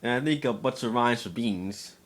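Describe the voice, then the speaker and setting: A man narrating fan fiction as a dramatic reading, slightly halting and stumbling over words now and then. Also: medium pitch.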